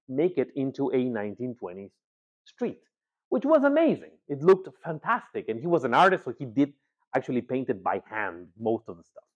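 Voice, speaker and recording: A man from Ecuador giving a conversational presentation as a guest speaker, often pausing with filler words and repeating himself. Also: mid-range voice. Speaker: guest speaker, agency founder. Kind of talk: presentation talk. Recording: slightly dull, lo-fi sound.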